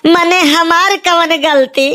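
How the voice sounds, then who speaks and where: Squeaky, cocky little Awadhi son. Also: male voice, fairly even intonation, slow, pushed and bright, full character voice. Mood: aggrieved. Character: tiny grown-up son, cocky, aggrieved, itching to marry. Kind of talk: amateur comic cartoon dub in dialect.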